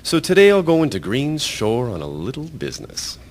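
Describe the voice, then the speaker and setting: A man's voice: wildly swooping pitch, normal speed. A man playing an easygoing, hard-working Irish farmer in a historical radio drama, speaking in folksy rural phrases. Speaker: amateur voice actor, storyteller. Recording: faint rumbling background noise.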